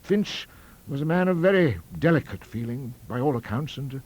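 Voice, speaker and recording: A man performs a delicate, fussy college master from a ghost story. The voice sits high and moves freely up and down. He rambles hesitantly, with a complacent, donnish air. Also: animated, moderate pace, a little mellow, close to an everyday voice. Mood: confiding, hesitant.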